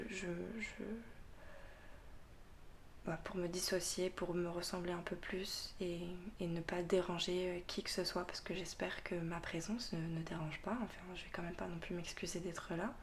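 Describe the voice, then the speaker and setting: A woman with a fairly low voice talks slowly and without a script, stopping and restarting her sentences. She often drops to a soft, half-whispered tone and laughs quietly at herself. A faint hiss sits under her voice. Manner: slow, hesitant, with many fillers and restarts. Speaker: tarot reader and channel host. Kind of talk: unscripted casual vlog monologue.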